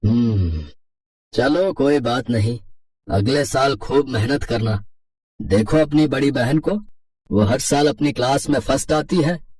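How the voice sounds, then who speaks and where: A man's voice: medium pitch, a little slow, a little mellow, distant mic, close to an everyday voice. An actor playing a kindly, protective middle-aged father in a cartoon moral story, hesitant yet reassuring.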